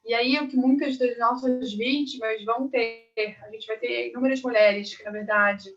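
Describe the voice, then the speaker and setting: A woman's voice: on the high side, steady tone, normal speed. A woman gynecologist explaining patiently on a video call, with frequent "né"s. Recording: muffled distant video call audio.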